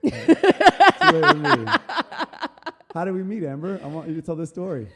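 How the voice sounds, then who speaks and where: A man's voice: high-pitched, huge pitch swings, moderate pace, a bit bright. A man hosting an interview podcast, chatty and self-deprecating, laughing often. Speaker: podcast host. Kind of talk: casual interview conversation.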